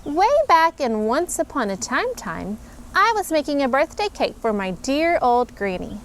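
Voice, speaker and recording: A woman with a high voice reads a storybook aloud at home, her pitch swooping all over as she plays up the lines. She reads like a mother entertaining her kids, with playful emphasis. A faint hiss sits under the voice. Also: measured pace. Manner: animated, expressive reading with playful emphasis. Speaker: mother reading a picture book aloud.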